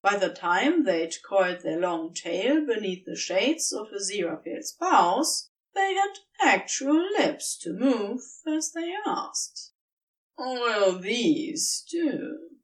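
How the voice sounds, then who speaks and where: Slow, expressive woman narrator. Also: mid-range voice, recorded from a distance, rough recording. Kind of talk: expressive scripted fiction narration.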